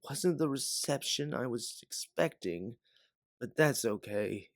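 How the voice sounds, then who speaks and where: A man playing an older, protective bounty hunter mentor in an audio drama, speaking in an earnest, measured way. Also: mid-range voice, expressive, dry recording, clean studio sound. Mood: soothing. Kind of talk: dramatic audio fiction acting.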